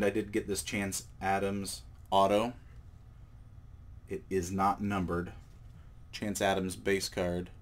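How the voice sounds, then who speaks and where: A man with a cold hosting a card collecting channel, talking in a relaxed, rambling way with fillers and self-corrections while he shows cards at a table indoors. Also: on the low side. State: has a cold. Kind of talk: casual show-and-tell vlog.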